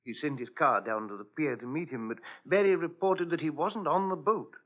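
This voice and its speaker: An actor playing a polite, deferential household servant in 1940s radio drama style. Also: male voice, a little high, moderate pace, natural voice. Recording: dull old radio recording.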